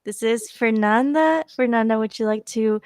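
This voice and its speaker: Smooth-voiced young woman hosting a podcast. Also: on the high side, moderate pace, no echo, studio quality. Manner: friendly, rambling, filler-heavy questions. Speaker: podcast host. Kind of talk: casual podcast interviewing.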